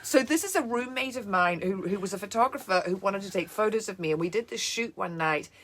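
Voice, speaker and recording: A woman in her fifties from New Zealand with a New Zealand accent, a co-host and former actress telling animated stories in casual chat. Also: mid-range voice, fast. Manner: animated, laughing storyteller.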